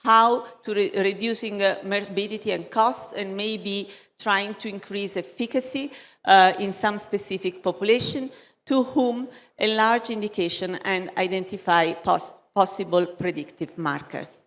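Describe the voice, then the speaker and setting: Slow, hesitant woman at a lecture. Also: mid-range voice, fairly bright tone. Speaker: conference speaker. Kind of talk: medical conference talk in English. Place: large conference hall. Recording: long hall reverb.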